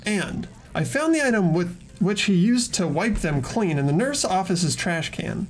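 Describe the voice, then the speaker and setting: An earnest teenage student detective from a video game, acted out by a fan performer. The voice swings lively in pitch while deliberately explaining evidence, with music playing underneath. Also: lightly acted. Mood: earnest, insistent. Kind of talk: scripted game dialogue read aloud by fans. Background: background music.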